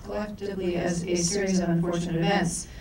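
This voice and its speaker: A woman's voice: on the low side, little pitch movement, quick pace, darkish tone, a little hiss or hum. A woman co-director opening a live event, reading speaker introductions with stumbles and self-corrections. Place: large echoey event hall. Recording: echoey hall from far off.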